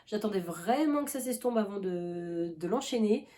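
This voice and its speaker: A woman's voice: medium pitch, expressive, moderate pace, dark tone. A young woman vlogging about books in a loose, rambling chat, full of fillers and restarts, with a cough now and then. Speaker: book vlogger. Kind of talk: casual chat.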